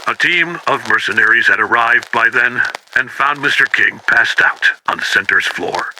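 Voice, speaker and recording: A man plays a grave male investigator dictating an official case report for an analog horror tape. His voice has a very bright, hard edge at a middling pitch. He speaks solemnly and deliberately, over faint radio-like noise. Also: expressive. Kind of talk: 1990s analog horror audio log, scripted report. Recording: noisy, harsh-edged radio-like tape recording.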